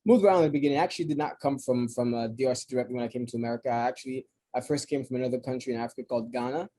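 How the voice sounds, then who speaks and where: Mellow-voiced Congolese-born man explaining. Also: medium pitch, very fast. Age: 30s. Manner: thoughtful, explanatory, frequent restarts. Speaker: coffee importer guest. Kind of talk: casual interview. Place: New York City.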